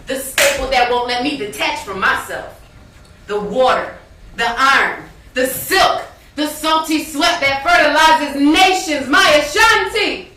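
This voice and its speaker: A woman's voice: a little high, sharp tone, exaggerated voice. A woman playing a proud, street-hardened friend, performing theatrical spoken word poetry with a rhythmic, emphatic delivery. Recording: very echoey stage, distant mic, faint noise.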